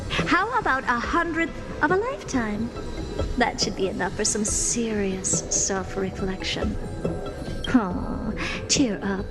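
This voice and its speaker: A woman's voice: medium pitch, lively intonation, takes it slowly. A woman voicing a haughty, smug genius scholar in a video game, speaking in a pompous, mocking, theatrical manner. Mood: mocking, gloating. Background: music.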